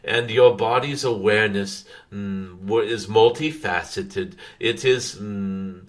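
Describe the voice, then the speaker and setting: A man channeling a wise, gentle dragon spirit, giving spiritual guidance in a halting, formal way with frequent humming pauses. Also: on the low side. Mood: calm, instructive. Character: wise, gentle dragon spirit addressing followers as dear ones.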